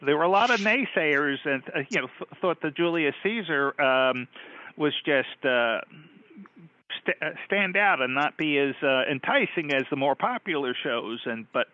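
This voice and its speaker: A man reminiscing casually over a group video call, often halting and correcting himself. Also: a little high, lively intonation, dry recording. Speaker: participant reminiscing at an online club meeting. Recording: dull, lo-fi video call audio.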